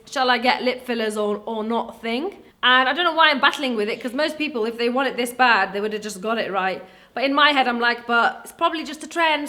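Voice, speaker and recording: A young woman from Wales, chatting casually through a question and answer vlog. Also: on the high side. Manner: chatty, animated, acts out voices in her stories. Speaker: vlogger. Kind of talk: casual Q&A chat.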